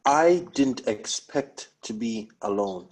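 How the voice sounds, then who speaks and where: A man playing a bitter, guilt-ridden widowed police officer with a husky voice, in a dramatic script read-through. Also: mid-range voice, animated, slow pace, darkish tone. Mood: guilty, haunted.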